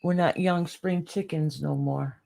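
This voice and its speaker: A woman's voice: sits low, steady tone, unhurried, creaky, warm tone. A woman in her late forties co-hosting a casual chat, telling stories with frequent laughter. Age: 40s. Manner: laughing, chatty storyteller. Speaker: podcast co-host.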